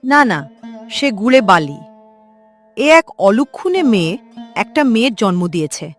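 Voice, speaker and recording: A woman's voice: medium pitch, very animated, normal speed, faint music behind. A woman voicing a stern, insistent old mother-in-law in a melodramatic cartoon story. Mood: scornful, bitter. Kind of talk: Bengali cartoon story dialogue.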